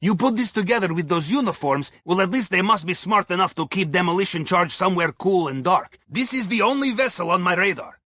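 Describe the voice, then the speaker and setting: An actor playing a wry, courteous Russian submarine pilot, advising on missions in a video game with a Russian accent and clipped grammar. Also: male voice, high-pitched, animated, fairly quick, hardly any pauses, pushed and bright, dry recording, clean studio sound, heavily acted. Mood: wry, businesslike. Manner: wry, deferential, clipped grammar with dropped articles. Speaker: mission handler on comms. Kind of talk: scripted video game dialogue.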